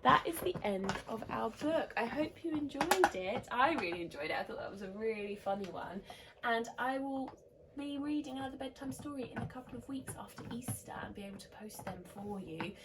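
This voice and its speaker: A woman teacher reading a picture book aloud to children, animated and expressive with soft whispered asides. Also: a little high, fairly quick, heavy background noise. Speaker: teacher reading a bedtime story.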